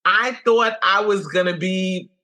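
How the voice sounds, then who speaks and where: A middle-aged woman from Guyana recounts her story as an interview guest. Her tone is bright and forceful. Her pitch leaps when she acts out her own past reactions. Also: unhurried. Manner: animated, wide pitch swings when quoting herself. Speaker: comedian guest.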